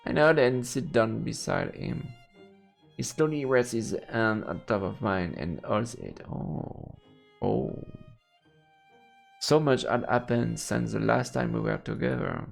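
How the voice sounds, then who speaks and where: A man's voice: mid-range voice, slow pace, vocal fry. A man streaming a let's play, reading game text aloud and dropping in playful murmured interjections. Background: game music.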